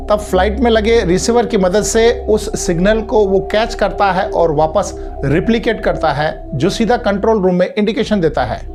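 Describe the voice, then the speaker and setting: A man narrates an explainer video over clearly audible background music. He follows a script and speaks to viewers directly, setting things out step by step in an instructional manner. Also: high voice. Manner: explanatory, addresses viewers as friends. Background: prominent background music.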